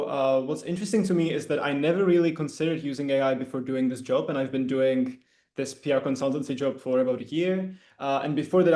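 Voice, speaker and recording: A young man, a PR consultant answering steadily on an online panel. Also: mid-range voice. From Czech Republic. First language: Czech. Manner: steady, measured, some fillers. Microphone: video call. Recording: echoey room.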